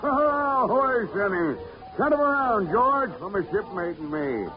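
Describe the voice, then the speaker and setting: An actor playing a salty, rum-loving boat captain in 1940s radio style, drawling and blustering. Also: male voice, high-pitched, big pitch swings, a little slow. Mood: boozy, merry. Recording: dull old radio recording, music behind.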